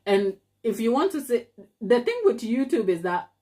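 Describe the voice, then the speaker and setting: A woman's voice: fairly high pitch, big pitch swings, moderate pace, dark tone. Emphatic Ghanaian woman on a live stream. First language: not English. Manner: emphatic, talks straight to viewers, rhetorical questions. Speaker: cake maker and channel host. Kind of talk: live stream chat to viewers.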